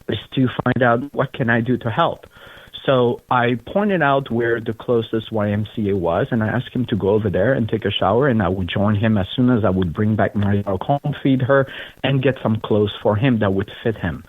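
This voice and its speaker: A middle-aged man with a French accent, from Belgium, telling his story in an interview with earnest, unhurried pacing. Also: mid-range voice, dry recording. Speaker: guest, charity founder. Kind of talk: interview conversation. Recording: tinny phone-like line.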